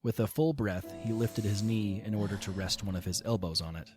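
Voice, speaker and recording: Low-voiced man narrating a story. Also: darkish tone. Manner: measured, literary storytelling. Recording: voice over background music.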